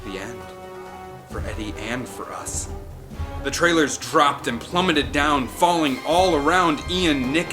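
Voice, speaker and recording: Male storyteller over prominent music. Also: mid-range voice. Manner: theatrical, suspenseful delivery. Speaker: audiobook narrator. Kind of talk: dramatic scripted storytelling. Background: orchestral film soundtrack music.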